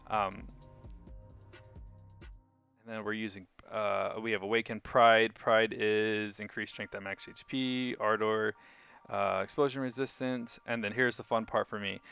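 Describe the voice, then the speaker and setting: A man with a low, gravelly voice and a bright, forward edge talks casually through a video game setup. He sounds like an informal, keen hobbyist explaining choices to viewers. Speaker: gaming video creator. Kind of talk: casual game walkthrough commentary. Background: music.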